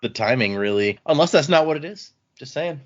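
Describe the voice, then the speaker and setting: A man talks about a book with a lively, bouncing voice and a teasing streak, laughing often. The recording is a little dull and lo-fi. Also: fairly high pitch, fairly quick. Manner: playful, teasing, laughs a lot. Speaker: podcast co-host. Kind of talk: casual book club chat.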